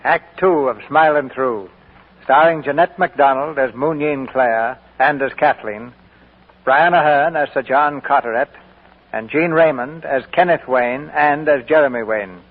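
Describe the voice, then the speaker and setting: A man narrating a radio drama in a measured, formal announcer style. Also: medium pitch. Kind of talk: scripted narration. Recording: tinny 1940s radio broadcast.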